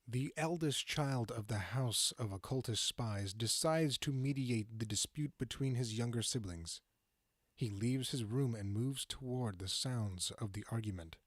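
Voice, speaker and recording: A man playing the composed narrator of a fantasy audio drama, measured and formal in scripted delivery. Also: mid-range voice, no room sound, natural voice.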